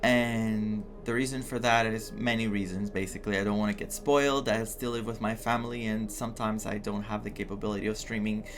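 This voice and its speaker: A man's voice: fairly low pitch, steady tone, brisk, few pauses. Young man streaming over game music. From Greece. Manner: rambling, thinks aloud. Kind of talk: casual playthrough commentary, reading dialogue aloud.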